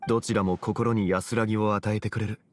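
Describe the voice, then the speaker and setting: An actor playing a composed, dignified and wise gentleman in a video game, with measured, courteous, formal speech. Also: lowish voice, level tone, natural voice. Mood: calm, courteous. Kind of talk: Japanese video game voice acting.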